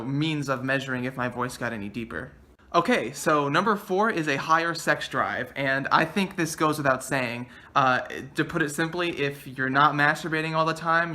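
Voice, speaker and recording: Bright-voiced young man vlogging earnestly. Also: mid-range voice. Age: 20s. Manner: earnest, thinking aloud with frequent uh and um; gestures a lot with his hands. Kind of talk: casual talk to camera. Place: small bedroom indoors.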